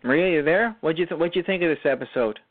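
A man hosting a radio show, speaking in a halting way that often restarts and repeats phrases as he gives commentary. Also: a little high, dry recording. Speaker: radio show host and writer-performer. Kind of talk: show commentary, semi-prepared talk. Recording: muffled lo-fi audio.

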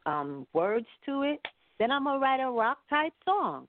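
A woman's voice: high voice, big pitch swings, measured pace, slight background noise. A woman talking casually and colloquially as an interviewed singer, with an encouraging, emphatic way of speaking. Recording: muffled phone-like line.

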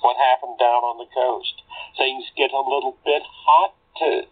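A man's voice: mid-range voice, fairly even intonation, unhurried, husky. Tinny phone voice, wisecracking male fence. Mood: teasing, mock hurt. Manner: streetwise, slangy, mocking. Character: wisecracking male fence and con man, teasing, dismissive. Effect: telephone filter. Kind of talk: audio drama acting. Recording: tinny narrow phone line.

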